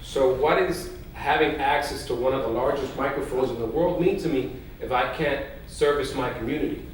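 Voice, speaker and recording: A man from Puerto Rico, a news executive on a conference panel, telling energetic stories and dropping in Spanish phrases. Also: medium pitch, moderate pace. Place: large conference hall. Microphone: distant mic in an echoey hall. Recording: echoey hall recording from far off, faint noise.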